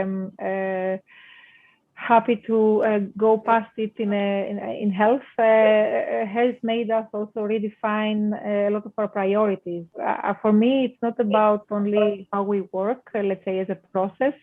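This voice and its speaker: A woman from Greece, a designer joining an online panel over a video call, answering thoughtfully with frequent fillers. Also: mid-range voice. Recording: tinny phone-like audio over video call.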